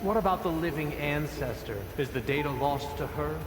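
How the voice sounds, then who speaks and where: A man's voice: on the high side, normal speed, over music, lightly acted. A man playing a haughty, formal leader in a game, pompous, curious and demanding. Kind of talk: game cutscene acting.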